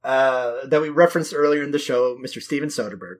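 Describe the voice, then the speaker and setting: A man's voice: mid-range voice, quick pace. A man co-hosting a casual film discussion, talking emphatically with plenty of swearing and hyperbole. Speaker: podcast co-host.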